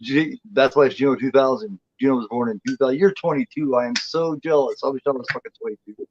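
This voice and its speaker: A man's voice: medium pitch, fast talker, darkish tone, lo-fi sound. A man in his forties chatting as co-host, blunt and profane, rambling with lots of restarts. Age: 40s. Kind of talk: casual chat.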